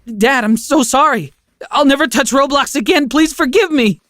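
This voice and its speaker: A man's voice: normal speed, fairly bright tone. A man voicing a tearful teenage boy pleading for forgiveness. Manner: pleading, tearful, high-strung.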